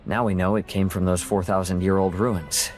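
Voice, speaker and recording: An actor playing a curious, rambling scientist in a video game, explaining things in a hesitant, musing way. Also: male voice, low voice, steady tone, normal speed, darkish tone, faint music behind, lightly acted. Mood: explanatory. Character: male scientist doctor, curious, rambling, awed by alien technology. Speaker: scientist briefing a military team.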